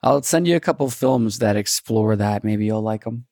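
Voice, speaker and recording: A man's voice: fairly low pitch, moderate pace, vocal fry, warm tone, no room sound, studio quality. A man hosting a casual chat, talkative and eager to recommend things.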